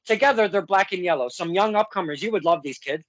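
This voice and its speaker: A man's voice: high voice, animated, fast, fairly bright tone, dry recording, lo-fi sound. A man hosting a podcast, asking questions in a casual, chatty way with frequent laughs and asides to listeners. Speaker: podcast host. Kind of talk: casual interview.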